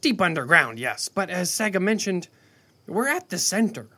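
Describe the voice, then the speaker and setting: A man's voice: high-pitched, very animated, moderate pace, sharp tone, full character voice. A man voicing a proud, grumpy rabbit scribe with a fussy, pompous manner in a children's audio drama. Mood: pedantic. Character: grumpy, proud male rabbit scribe, pedantic and easily offended.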